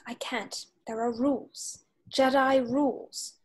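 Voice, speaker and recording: Woman reading as young heroine, high voice, earnest, conflicted, script read. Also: steady tone, slow pace. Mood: flustered. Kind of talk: table read of a film script. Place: home, video call.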